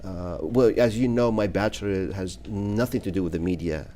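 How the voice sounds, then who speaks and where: Deep, mellow man from Iraq. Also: moderate pace, a little hiss or hum. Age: 30s. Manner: hesitant, many fillers and restarts. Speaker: guest. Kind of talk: casual interview answers.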